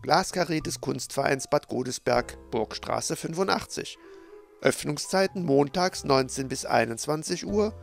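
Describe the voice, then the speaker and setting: A man's voice: a little high, unhurried, gravelly, faint music behind. A man reading a scripted news report voice-over about an exhibition. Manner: scripted, even.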